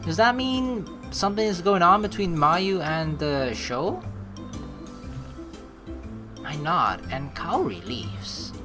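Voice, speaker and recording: A young woman hosts a playthrough, reading story text aloud and chatting casually. Her voice is slightly low and swings dramatically in pitch, with a playful, expressive delivery. Faint game music sits behind her. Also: slow pace, pauses often. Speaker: let's play host.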